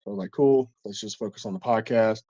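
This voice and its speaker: A man's voice: on the low side, fast, dark tone, dry recording. Man, stuffed-up nose, casual rambling podcast talk, profane, dry dull audio. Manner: rambling, profane, with frequent restarts. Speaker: podcast co-host.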